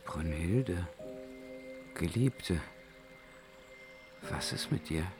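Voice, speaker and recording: An actor playing a dying, enraptured hero and lover in a fantasy radio play, breathy and gravelly. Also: male voice, low-pitched, lively intonation, extremely slow, music in the background, heavily acted. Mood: dying, tender, enraptured. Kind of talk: radio drama acting.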